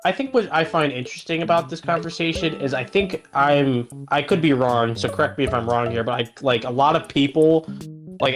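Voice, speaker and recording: Hedging man on a call, music clearly behind. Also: mid-range voice. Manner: hedging, thoughtful, loose with fillers. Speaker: podcast guest. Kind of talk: casual voice-call chat.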